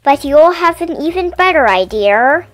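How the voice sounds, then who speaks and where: A woman reads a picture book to children in a high, bouncy voice that climbs and dips with every line. She takes her time and lands each word with clear, playful emphasis. The sound is dry and close, with quiet music playing beneath her. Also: expressive, measured pace, no room sound. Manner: gentle, clearly enunciated storytelling with playful emphasis. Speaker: storybook reader and channel host.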